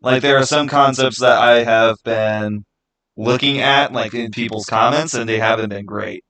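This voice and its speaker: Bright-voiced man chatting casually on stream. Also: mid-range voice, steady tone, low-quality recording. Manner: informal, opinionated, halting and repetitive. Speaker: livestream host. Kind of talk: casual livestream chat answering viewer comments.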